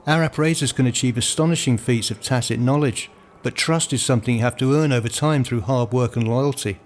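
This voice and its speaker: A man reading scripted first-person literary narration in a measured, formal manner, as the narrator of a radio play. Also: mid-range voice, slight background noise. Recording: voice over prominent music. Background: music.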